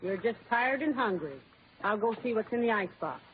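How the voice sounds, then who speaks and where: A woman's voice: fairly high pitch, expressive, fairly quick, natural voice. A woman playing a devoted, motherly wife who fusses over and reassures her husband in old-time radio comedy. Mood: soothing, devoted. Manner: reassuring, fussing, emphatic. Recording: tinny, noisy old radio broadcast.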